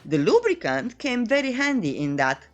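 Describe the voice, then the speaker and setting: A woman narrates a playful recap with a coy, tongue-in-cheek delivery. Her voice sits a little high and swings very widely in pitch. Faint music plays behind the voice-over. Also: wildly swooping pitch, normal speed. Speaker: narrator of comic recap videos.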